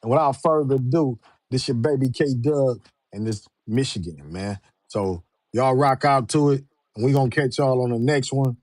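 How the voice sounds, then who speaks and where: A man hosts a casual live interview in informal, slangy speech. His voice has an average pitch and sounds dry, with very little room around it. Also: moderate pace, darkish tone, no echo. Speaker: show host.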